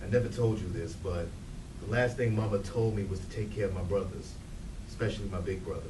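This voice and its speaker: A man's voice: low-pitched, fairly even intonation, fairly quick, close to an everyday voice. Male actor as frustrated younger brother, play reading, earnest, emphatic, roomy distant recording, faint noise. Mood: tender.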